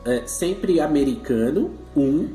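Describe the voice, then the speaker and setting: Mellow Brazilian man in an echoey room. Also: fairly high pitch, animated, slow, faint music behind. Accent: Brazilian Portuguese. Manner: chatty, jokey, many restarts and laughing asides. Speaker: co-host, husband and father.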